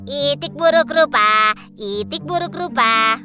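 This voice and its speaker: A woman's voice: sits high, animated, slow, music in the background. A woman voicing a mean young duckling who taunts its sibling in a jeering sing-song. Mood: mocking.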